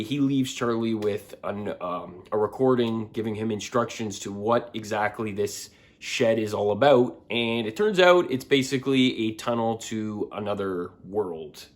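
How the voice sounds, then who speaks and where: A man's voice: on the low side, unhurried. A man giving a casual book review to his viewers, often repeating words and backtracking as he talks.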